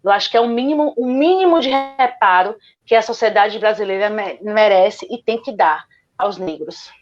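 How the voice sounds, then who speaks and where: A woman's voice: mid-range voice, moderate pace. A woman with a Bahian accent answers a panel question with earnest conviction, circling back to her main point. Her voice sits in a middle range. The sound is dull and lo-fi, like audio from an online call. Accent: Bahian Portuguese. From Salvador, Bahia, Brazil. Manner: earnest, argumentative, repeats "justamente". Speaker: guest speaker, lawyer.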